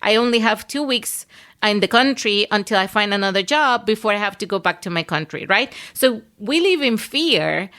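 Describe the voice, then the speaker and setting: A woman's voice: medium pitch, expressive, normal speed, fairly bright tone. A woman from Venezuela hosting a podcast interview, explaining earnestly and often checking in with "right?". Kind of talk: conversational interview.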